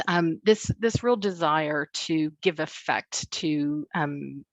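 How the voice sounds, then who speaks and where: A woman researcher giving an academic presentation at a book launch, speaking in a measured way with many ums and uhs, over a video call. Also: low-pitched, gravelly, no room sound. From not from New Zealand. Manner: measured, with frequent um and uh fillers. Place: New Zealand, speaking remotely.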